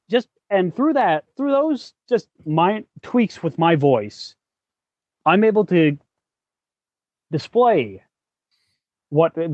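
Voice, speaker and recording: A man hosting a podcast in casual, explanatory talk, often halting as he thinks aloud. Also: a little high, no room sound, dull audio. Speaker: podcast host; working actor.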